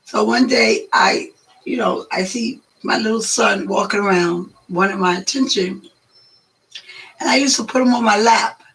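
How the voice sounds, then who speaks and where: A hoarse woman telling stories in a chatty, rambling way as a guest on her son's video. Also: mid-range voice, lively intonation, measured pace, a bit bright, rough recording. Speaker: guest, host's mother. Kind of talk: casual storytelling chat.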